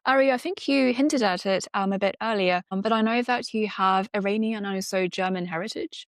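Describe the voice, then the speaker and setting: A woman's voice: medium pitch, a little fast, dry recording, clean studio sound. A woman hosting a podcast, asking curious, polite questions and giving brief prompts. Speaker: podcast host. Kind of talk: interviewer questions.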